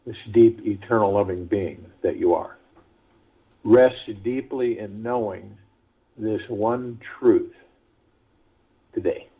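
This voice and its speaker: Husky low-voiced man guiding a meditation. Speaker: guided meditation call host. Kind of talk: guided meditation talk, reading prepared spiritual text. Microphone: phone conference line. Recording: muffled, lo-fi conference call audio.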